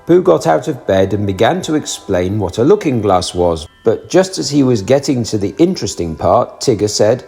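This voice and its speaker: A man reading a children's storybook aloud, narrating in an expressive, animated way. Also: lowish voice, moderate pace, creaky, few pauses, faint music behind.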